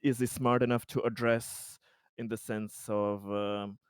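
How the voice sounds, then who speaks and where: A man's voice: medium pitch, little pitch movement, measured pace, smooth, no room sound, studio quality. A man playing a tabletop roleplaying game, chatting casually and hesitantly as he works out what to do. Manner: hesitant, restarting, often breaking into nervous chuckles.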